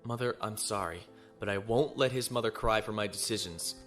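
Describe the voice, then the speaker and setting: Guy playing brooding young space navy officer, low voice, earnest and honorable, audio drama with clear music under it. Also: brisk, fairly bright tone, natural voice. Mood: earnest, troubled. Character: conflicted, honorable young male imperial naval officer. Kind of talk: sci-fi audio drama acting.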